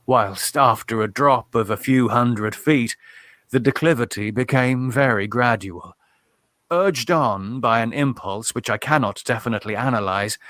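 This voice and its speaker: Male audiobook narrator, solemn measured horror reading, dry close mic. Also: mid-range voice, fairly bright tone, no room sound.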